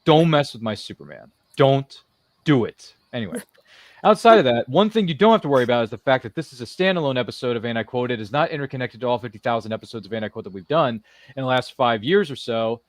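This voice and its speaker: A man's voice: medium pitch, animated, quick pace, dry recording. Man hosting with jokey, slangy delivery, dry sound. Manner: jokey, slangy, theatrical asides to people not present. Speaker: host of a live interview show. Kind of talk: casual livestream chat, reading out viewer comments.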